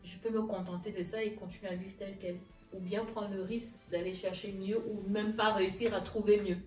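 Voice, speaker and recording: A woman's voice: mid-range voice, level tone, fairly quick, a little mellow. A woman interview guest casually telling her own story, often acting out past conversations in reported speech. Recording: echoey room, distant mic, music underneath.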